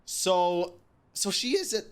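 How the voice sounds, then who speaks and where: A woman's voice: fairly low pitch, very animated, takes it slowly, a crowd in the background. A woman streamer reacting casually to a game, blunt and sweary as she thinks aloud.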